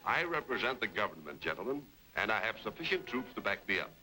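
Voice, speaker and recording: An actor playing a power-hungry, domineering colonel in an old western serial, pompous and commanding. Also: male voice, on the low side, very animated, fast, sharp tone, full character voice, poor audio. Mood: pompous, menacing.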